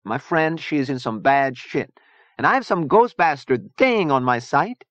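A man's voice: fairly high pitch, expressive, a little slow, a bit bright, no room sound, muffled recording, voice pushed up. A man voicing a fierce, hot-tempered young woman gang leader in an audiobook. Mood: grudging, threatening. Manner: combative, profane, imperious. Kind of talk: audiobook character dialogue.

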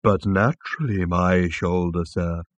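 A man voicing a large, fat, obliging talking cow in an audiobook, with a contented, deferential, lowing delivery. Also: steady tone, unhurried, dry recording. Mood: contented, inviting. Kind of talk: audiobook comic science fiction character.

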